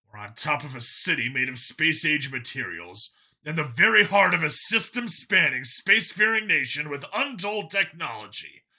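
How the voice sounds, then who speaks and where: Man acting a forceful, stubborn human cop. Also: a little high, sing-song, moderate pace, breathy edge, heavily acted. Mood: incredulous. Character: gruff, stubborn human cop and urban gunfighter, male. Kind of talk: acted audiobook dialogue.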